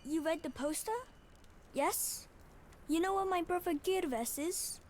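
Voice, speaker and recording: A woman's voice: extremely high pitch, a little mellow, slight background noise. A woman playing an earnest, worried child who speaks hesitant, in a video game. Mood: halting, earnest. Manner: hesitant, halting. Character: worried young child, earnest, minding a shrine alone. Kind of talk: scripted game dialogue.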